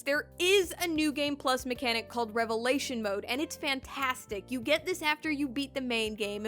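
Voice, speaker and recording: High-pitched chatty woman reviewing games. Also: sharp tone. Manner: chatty, emphatic, conversational asides. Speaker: video game reviewer, host. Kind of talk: casual enthusiastic review voice-over. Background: background music.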